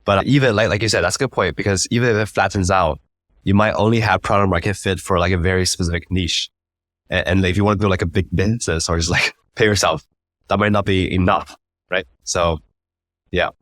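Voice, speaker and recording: A man with a low voice hosts a casual interview. He talks very quickly, doubling back on words as he shapes a thought. The recording is dry and close. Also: fairly even intonation, fairly bright tone, no room sound. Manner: halting, repeats words while forming thoughts. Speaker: interview host.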